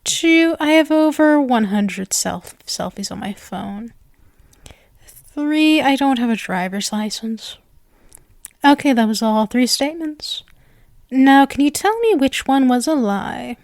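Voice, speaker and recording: A woman's voice: high voice, expressive, gravelly, no echo, clean studio sound, heavily acted. A woman voicing a sweet, possessive yandere witch in an ASMR roleplay, coy and rambling, speaking intimately to the listener. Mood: playful. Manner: coy, halting, rambling, self-assured. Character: sweet, clingy, possessive yandere witch, playfully smug and nervous.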